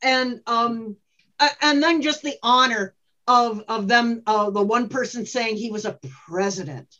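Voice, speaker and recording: Female podcast panelist, fairly high voice, chatty and rambling, slightly lo-fi sound. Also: unhurried, pushed and bright. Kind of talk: casual discussion.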